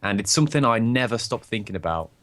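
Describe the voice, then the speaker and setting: A croaky-voiced young man chatting openly and rambling as co-host of a casual podcast. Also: fairly low pitch, animated, fast talker, fairly bright tone. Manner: rambling, open, laughs often. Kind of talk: casual chat.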